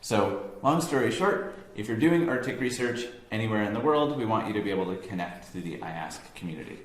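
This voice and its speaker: An American man from near Boston giving a relaxed, conversational talk to an audience, with frequent ums and self-corrections. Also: on the low side, fairly quick. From United States, near Boston. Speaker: science organization staff giving a talk. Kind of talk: informal presentation to an audience. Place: room with an audience. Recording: echoey room, faint background hum.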